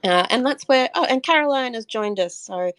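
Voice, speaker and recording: A woman's voice: on the high side, lively intonation, normal speed, fairly bright tone, no room sound. A woman answering as a guest in a casual interview, hesitant and thinking aloud as she goes. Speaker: interview guest, community member and artist.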